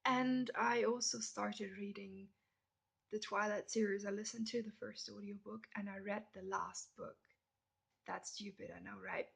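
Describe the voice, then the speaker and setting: A young woman from Germany with a German accent, chatting casually through a list of questions and often dropping into soft whispered asides. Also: mid-range voice, steady tone, normal speed, gravelly, husky. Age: young adult. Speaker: vlogger. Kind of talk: casual question-and-answer tag video.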